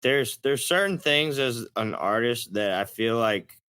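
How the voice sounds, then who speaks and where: A man's voice: mid-range voice, expressive, measured pace, pushed and bright, clean tone, dry recording, studio quality. A man with a Texas accent chatting casually in an interview as a country rock singer, folksy, plain-spoken and a little profane.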